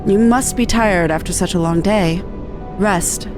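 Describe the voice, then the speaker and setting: A woman reads out a proud, bookish researcher from a fantasy game. The delivery is formal, precise and earnest. Music plays clearly behind the voice. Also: medium pitch, measured pace, pitched below the performer's own voice, natural voice. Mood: composed, lofty. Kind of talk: game dialogue read-aloud.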